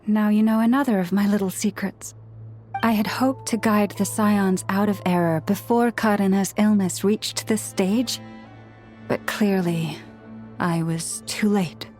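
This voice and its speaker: A woman playing a gentle, solemn spiritual leader in a video game, speaking in a measured, formal way. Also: medium pitch, fairly even intonation, lightly acted. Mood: solemn, regretful. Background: music.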